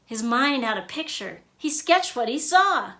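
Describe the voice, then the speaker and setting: A woman with a high, bright voice reads a children's picture book aloud. Her pitch swings in a lively way, and she gives the rhymes and sound words expressive emphasis. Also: a little slow. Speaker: author and illustrator reading own book.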